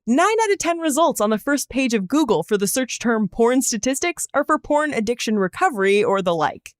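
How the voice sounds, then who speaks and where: A woman's voice: a little high, dry recording, studio quality. A woman hosting a podcast, reading scripted narration in a wry, conversational way with asides. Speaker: podcast host.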